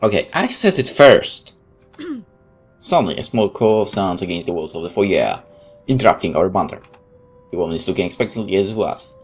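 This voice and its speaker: A man's voice: low voice, sing-song, normal speed, breathy edge, soft background music. A man playing through a game, reading its text aloud and adding sarcastic asides. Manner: sarcastic, interjecting quips. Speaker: let's player.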